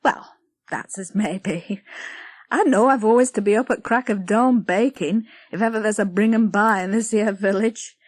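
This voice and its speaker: A woman voicing a sharp-tongued middle-aged village baker who scolds briskly and dismissively. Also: medium pitch, no room sound, close to an everyday voice. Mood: scolding.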